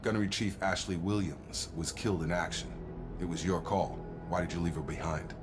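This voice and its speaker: Deep-voiced, earnest male soldier played by an actor. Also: brisk, music in the background, natural voice. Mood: earnest, steady. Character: earnest, loyal male soldier, plain-spoken and conscientious. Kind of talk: video game cutscene acting.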